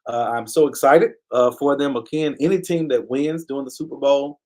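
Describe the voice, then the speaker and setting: A man hosting a live online talk show, speaking earnestly in a preacher-like cadence, repeating key phrases and stopping to thank viewers by name. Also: medium pitch. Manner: pauses to give viewers shout-outs. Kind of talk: casual live-stream commentary.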